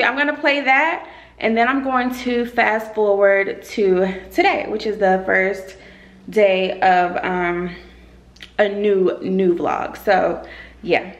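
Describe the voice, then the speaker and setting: Slow, gravelly young woman vlogging at home. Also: mid-range voice. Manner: chatty and rambling, many ums, repeats herself. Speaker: lifestyle vlogger. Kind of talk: casual chat to camera. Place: apartment living room and kitchen, indoors. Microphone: handheld camera on a grip, close.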